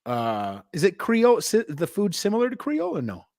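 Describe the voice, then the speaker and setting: A man's voice: high voice, wildly swooping pitch, fairly quick, a little mellow, dry recording, clean studio sound. A man from New York hosting a podcast, talking in a blunt, profane, rambling casual way. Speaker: podcast host. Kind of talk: casual chat.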